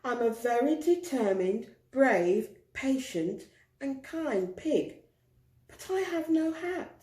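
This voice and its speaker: Woman acting a lively little boy pig, echoey. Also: sits high, takes it slowly, pitched above the performer's own voice. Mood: proud. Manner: emphatic, exaggerated. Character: proud, excitable little pig, male. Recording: roomy echo.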